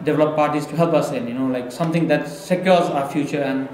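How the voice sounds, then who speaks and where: A man's voice: fairly high pitch, steady tone, fast, a little mellow, a little hiss or hum. A man from Nepal, speaking earnestly as a government delegate in an interview, often restarting words. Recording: echoey room.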